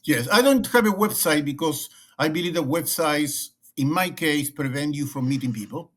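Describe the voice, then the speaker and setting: A man's voice: on the high side, moderate pace. A middle-aged man from Argentina with an Argentine Spanish accent, speaking as an interview guest and often restarting and repeating words. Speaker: guest, leadership consultant. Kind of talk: conversational interview.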